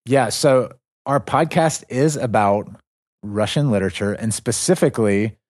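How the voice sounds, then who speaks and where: A man's voice: medium pitch, normal speed, a little mellow, no room sound, studio quality. A man co-hosting a casual podcast, talking eagerly and often restarting his sentences. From United States. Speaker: podcast co-host.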